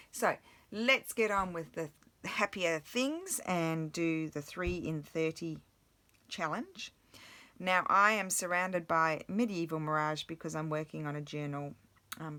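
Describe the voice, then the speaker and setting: A woman vlogging while she crafts, chatting casually and thinking aloud, her voice a little croaky from the morning. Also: sits low, bright, forceful tone. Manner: rambling, self-interrupting, thinking aloud.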